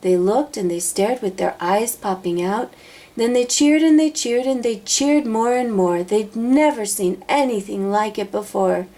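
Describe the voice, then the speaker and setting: A woman narrating a children's storybook aloud, expressively, with dramatic drawn-out emphasis. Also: fairly high pitch, mellow. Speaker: storybook reader.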